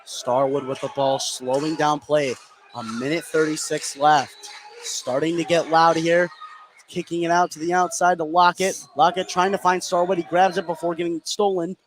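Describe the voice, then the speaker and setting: Higher-voiced man calling basketball over loud noise. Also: flat delivery, moderate pace. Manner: narrates action in short running phrases. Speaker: play-by-play commentator. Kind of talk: live basketball radio play-by-play. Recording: noisy live gym broadcast.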